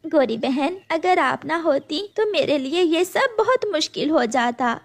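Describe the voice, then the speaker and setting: A woman voicing a gentle, devoted mother sparrow in a cartoon, speaking softly and plaintively. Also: sits high, animated, a little slow, pitched above the performer's own voice. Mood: sorrowful. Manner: soft, plaintive.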